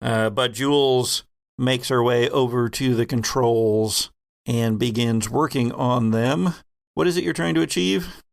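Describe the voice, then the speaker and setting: Unhurried man running a horror game. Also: medium pitch, gravelly. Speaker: game master and host. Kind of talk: narrating a tabletop horror roleplaying game.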